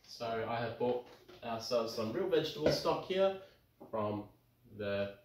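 A man in his thirties with an Australian accent, slightly croaky from illness, chatting casually through a cooking demonstration in his home kitchen with plenty of ums. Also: mid-range voice, expressive, measured pace, slight background noise. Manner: chatty, upbeat, lots of ums. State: croaky from tonsillitis. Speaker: home cooking show host. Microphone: camera across the kitchen. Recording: roomy kitchen sound, far from mic.

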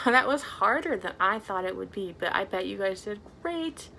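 A woman's voice: mid-range voice, animated, unhurried, creaky, bright, forceful tone, faint music behind, low-quality recording. A young adult woman presenting a storytime for small children as a librarian, speaking to them in simple, encouraging phrases with lots of questions.

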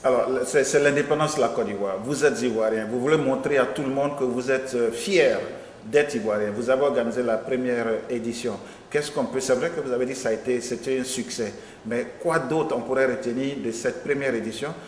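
A middle-aged man hosts an interview in an echoey studio with a long reverb tail. He asks measured questions and often repeats himself. A faint hiss sits under his voice. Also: medium pitch. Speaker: host. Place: small studio with logo backdrop.

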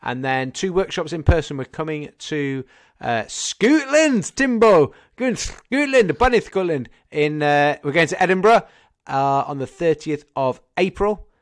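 A man's voice: fairly high pitch, lively intonation, unhurried. A man in his thirties with a Scouse accent, co-hosting a podcast in casual chat, joking and rambling with lots of fillers. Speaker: podcast co-host. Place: home room, indoors. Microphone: desk condenser mic on video call.

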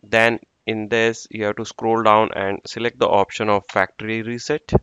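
A man's voice: fairly low pitch, flat delivery, moderate pace, fairly bright tone, clear, resonant tone, no echo. A man narrating a screen-recorded networking tutorial, talking through each step as he works, with fillers. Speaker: network engineer instructor. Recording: dull dry lo-fi screen recording.

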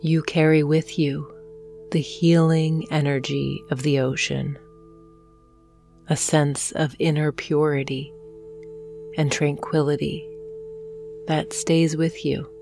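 Low, very slow woman guiding meditation. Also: level tone, gravelly. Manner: soothing, gentle, unhurried phrasing with long pauses. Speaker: guided meditation host. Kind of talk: hypnosis meditation narration. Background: soft meditation music with singing bowls.

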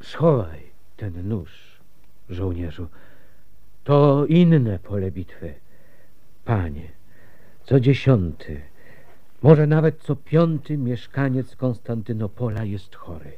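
Slow, composed district medic. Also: male voice, fairly low pitch, narrow, tinny audio, full character voice. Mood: calm, resigned. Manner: measured, formal, calm. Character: weary, composed, fatalistic city district medic. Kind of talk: Polish radio drama acting.

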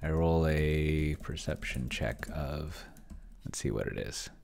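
Very deep, gravelly man muttering while typing. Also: lively intonation, takes it slowly, darkish tone. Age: middle-aged. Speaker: solo roleplaying player and show host. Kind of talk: casual live play commentary, thinking aloud. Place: indoor room at a computer. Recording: keyboard typing and clicking noise.

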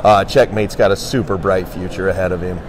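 Man, fairly low voice, casual livestock commentary, panel specialist. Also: fairly even intonation, fairly quick, a little hiss or hum. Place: indoor convention hall booth.

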